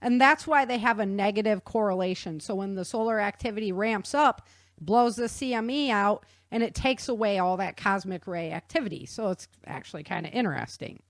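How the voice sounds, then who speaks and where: A woman's voice: mid-range voice, brisk, no echo, clean studio sound. A woman hosting a talk show, explaining science in a chatty, informal way and checking in with her listener.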